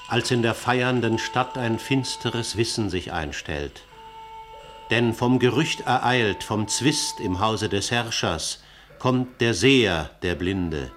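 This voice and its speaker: A man narrating a classical radio drama in a measured, declamatory way. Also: medium pitch. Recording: faint music underneath.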